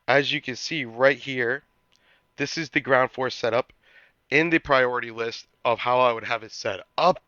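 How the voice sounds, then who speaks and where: Bright, crisp man explaining game strategy. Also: mid-range voice, no echo, lo-fi sound. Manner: explanatory, with frequent restarts and throat clearing. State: recovering from a cold. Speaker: gaming channel host.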